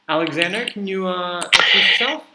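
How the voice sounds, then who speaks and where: A man's voice: high-pitched, normal speed. A man hosting and moderating an online panel, explaining in a loose way with plenty of fillers. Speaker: host and platform founder. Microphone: video call. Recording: echoey room with faint noise.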